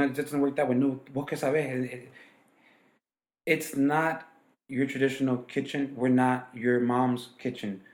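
Man from LA, restaurant owner, rambling casual talk, roomy sound. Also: mid-range voice. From Los Angeles. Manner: rambling, many restarts and fillers.

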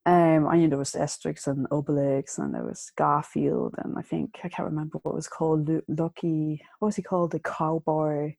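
A woman from Denmark, a comics artist, answering interview questions reflectively with ums and pauses. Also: low voice, fairly even intonation, gravelly, a little mellow, dry recording, studio quality. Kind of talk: casual interview.

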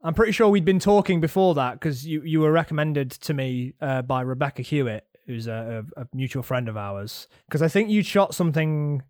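A man chats as a podcast guest, quick and energetic, laughing easily. His voice sits a little high, and the recording is close and dry. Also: no echo. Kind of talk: casual conversation.

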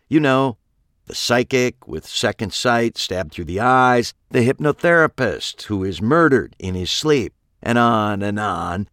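A man's voice: medium pitch, a bit bright, dry recording, clean studio sound, voice pushed up. A male narrator voicing a smug, menacing killer who lectures his captives in a taunting manner. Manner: taunting, lecturing, enjoys explaining. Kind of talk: audiobook character reading.

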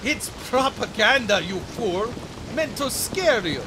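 A man acts out a haughty, war-hardened elven admiral in a fantasy audio play. His voice is high and swings widely as he barks scornful orders. Rain and storm noise are clear behind him. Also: expressive, slow, full character voice. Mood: scornful, commanding. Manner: commanding, scornful, barking orders. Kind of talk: fantasy audio drama acting. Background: rain and storm at sea.

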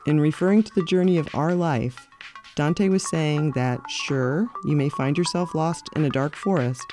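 A middle-aged woman hosting her podcast, narrating in a reflective, measured and candid way. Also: sits low, darkish tone, soft background music. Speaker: podcast host. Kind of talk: scripted personal narration.

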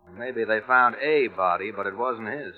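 Actor as slangy American sailor, old radio. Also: male voice, fairly low pitch, lightly acted. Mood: confiding. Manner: colloquial, halting. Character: slangy American ex-Navy radio operator spinning a yarn. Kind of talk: old-time radio drama acting. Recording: muffled vintage radio broadcast, music behind.

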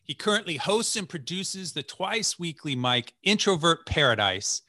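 A man's voice: a little high, sing-song, moderate pace, pushed and bright, no room sound, clean studio sound. A man in his forties hosting a podcast, chatting enthusiastically with a guest over a video call. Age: 40s. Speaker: podcast host. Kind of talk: casual interview chat.

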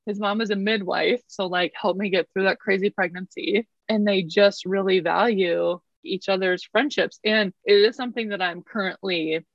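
A woman's voice: medium pitch, fairly even intonation, moderate pace, gravelly, few pauses, dry recording, lo-fi sound. A woman in her thirties from Canada, chatting as an interview guest with a self-deprecating, laughing manner. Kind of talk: casual interview chat.

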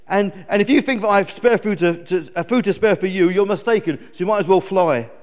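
A man's voice: high-pitched, a little fast, a little mellow, long reverb tail, voice pushed up, lightly acted. A man voicing a grumpy young male eagle who squawks and complains indignantly in a children's story. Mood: whining, miserable.

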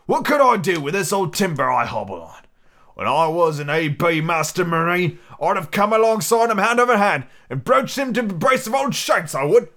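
A man acts out a cunning, hearty old seafaring cook from an adventure storybook. He talks in salty sailor dialect with theatrical exclamations, in a raised, lively pitch. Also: normal speed, full character voice. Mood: jovial, boastful.

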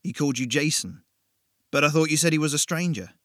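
A man's voice: fairly high pitch, fairly quick, a bit bright, dry recording, voice pushed up, lightly acted. Man playing a skeptical young friend, dry, sardonic, slightly raised voice. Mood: wry. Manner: dry and sardonic, turning urgent.

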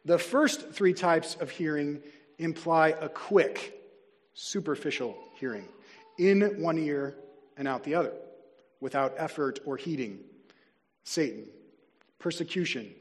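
A man preaching a sermon as a pastor, earnest and deliberate, slowing down to stress key words. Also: fairly high pitch. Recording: long reverb of a large hall.

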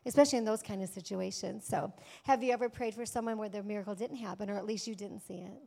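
A woman's voice: mid-range voice, fairly even intonation, fast, vocal fry, mellow. A woman hosting a church women's panel, upbeat and calling out amens to the audience in a church hall. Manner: upbeat, calls out amens to the room. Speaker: women's event host.

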